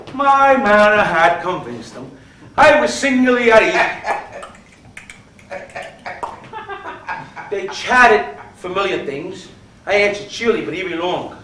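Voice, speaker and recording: A man performing a theatrical horror monologue as a guilt-maddened murderer, melodramatic and intense. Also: high-pitched. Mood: feigned calm, uneasy. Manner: intense, melodramatic, swinging from hushed to shrieking. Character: guilt-maddened male murderer, feigning calm then frantic. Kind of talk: theatrical dramatic monologue of a classic horror tale. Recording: roomy, distant mic, faint noise.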